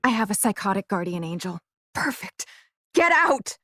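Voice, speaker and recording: A woman's voice: mid-range voice, very animated, normal speed, a bit bright, dry recording, studio quality. A frightened young blinded woman. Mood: defiant. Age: young adult. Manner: agitated, emotional, talks back sharply.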